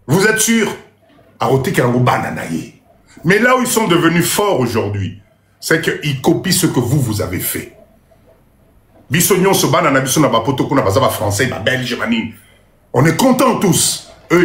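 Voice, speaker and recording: A man's voice: medium pitch, very animated, normal speed, roomy sound. A man with a Congolese accent talking insistently in a live stream, full of rhetorical questions. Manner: insistent, rhetorical questions, mixing French and Lingala. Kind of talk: emphatic live-stream monologue.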